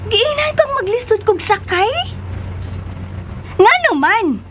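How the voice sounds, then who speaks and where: A woman acting in a Cebuano radio drama from the Philippines as a jealous, scornful schemer, husky, emotional and pushy. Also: very high-pitched, sing-song, slow, a bit bright, slight background noise, exaggerated voice. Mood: indignant. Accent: Filipino, Cebuano.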